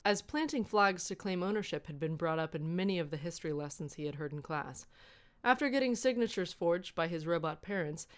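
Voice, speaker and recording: A woman with a fairly low voice reads a scripted story aloud. She works as the narrator of comic fan fiction, delivering prepared prose.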